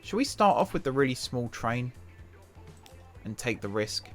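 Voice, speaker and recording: A man hosting a gaming channel. He chats casually over his gameplay and thinks aloud with self-deprecating humour, and his voice is croaky from a long day of talking. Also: mid-range voice, big pitch swings, a little slow, a bit bright. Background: background music.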